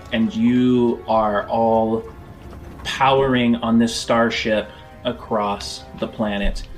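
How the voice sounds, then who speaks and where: A man narrating a tabletop roleplaying game as game master, in a measured, descriptive storytelling manner. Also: mid-range voice. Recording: music under the voice. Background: background music.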